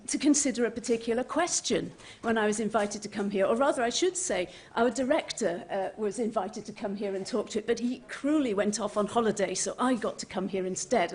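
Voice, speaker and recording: A woman's voice: medium pitch, darkish tone. A woman from Wales, giving a prepared conference presentation with informal, friendly asides to the audience. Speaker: conference speaker, heritage official. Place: conference venue, speaking to an audience.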